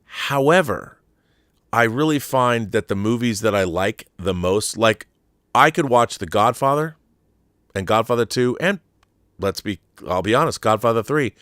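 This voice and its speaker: A man's voice: medium pitch, animated, a little slow, fairly bright tone, no echo, studio quality. A man hosting a live talk show, chatting casually about a viewer's question and repeating words for emphasis.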